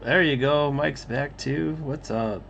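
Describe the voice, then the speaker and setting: Male streamer, mid-range voice, slow laid-back delivery. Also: mid-range voice, vocal fry, highs cut off, slight background noise. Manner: relaxed, talks to unheard co-hosts, halting. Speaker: livestream host drawing comics. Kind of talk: casual livestream chat.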